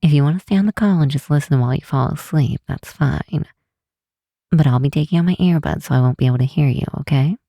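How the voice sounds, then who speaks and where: Low, mellow, teasing vampire woman, acted by a woman. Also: dry recording, clean studio sound. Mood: tender. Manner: soft, unhurried, teasing, sometimes breathy near-whisper. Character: affectionate, teasing old-world vampire woman, a scientist friend. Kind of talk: intimate ASMR roleplay, one side of a call.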